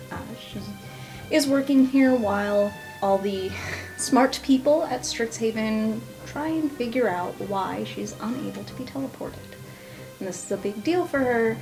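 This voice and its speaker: A woman speaks casually as a player at a tabletop game. Her pitch is average for a woman, and music runs clearly underneath her voice. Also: poor audio. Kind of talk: casual character introduction.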